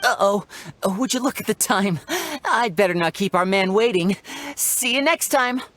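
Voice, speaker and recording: A woman's voice: mid-range voice, moderate pace, fairly bright tone, no room sound, close to an everyday voice. A woman playing a playful young stage magician in a video game, charming, teasing and showy in delivery. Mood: theatrical, warm. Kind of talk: scripted video game character dialogue. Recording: music behind the voice.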